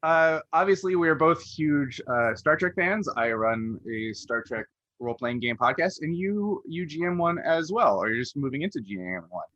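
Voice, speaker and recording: A man's voice: on the high side, animated, moderate pace, hardly any pauses, a bit bright, no room sound, dull audio. A man hosting a casual podcast interview, talking in a rambling way that often interrupts itself.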